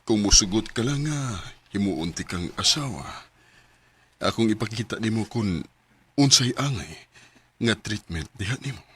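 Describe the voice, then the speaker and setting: A man's voice: fairly low pitch, expressive, moderate pace, pauses often, fairly bright tone, slightly rough. An actor playing an aging widowed man tenderly courting a younger woman in a Cebuano radio romance drama, with a Cebuano accent. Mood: earnest, coaxing.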